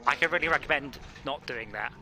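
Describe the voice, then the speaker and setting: A man's voice: medium pitch, fairly quick, noisy background. A man running a racing game stream, chatting casually, teasing and laughing at the other players. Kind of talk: casual gaming banter and commentary. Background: music.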